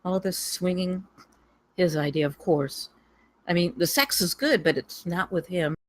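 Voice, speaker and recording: A woman's voice: lowish voice, steady tone, unhurried, natural voice. A woman playing a jealous, lovesick wife who confides her hurt in a film script table read. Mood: jealous, wistful.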